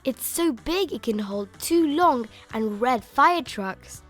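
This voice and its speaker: A woman reading a children's picture book aloud in an expressive, emphatic way, stretching out key words. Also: high voice, slow. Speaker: children's story reader. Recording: music bed behind the voice.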